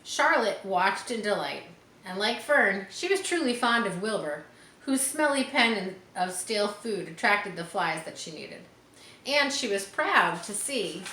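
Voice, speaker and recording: Lady doing storytime for kids, bright voice, very expressive, bit of room noise. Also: medium pitch. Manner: expressive, explains and comments as to schoolchildren. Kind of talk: animated read-aloud of a children's story. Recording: roomy echo, faint background noise.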